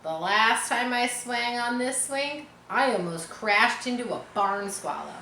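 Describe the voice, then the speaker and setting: An excitable young farm boy from a storybook, acted out by a woman, brash and mischievous, with a bright, forceful delivery. He pipes up high and eager in a roomy, echoing space. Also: unhurried, creaky, slight background noise, full character voice. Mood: boastful. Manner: shouting, boastful, eager. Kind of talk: children's storybook character reading.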